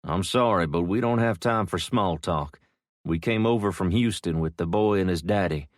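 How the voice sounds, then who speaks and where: A man voicing a steady, practical grown man who speaks bluntly and matter-of-factly. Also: sits low, normal speed, no room sound, studio quality, lightly acted.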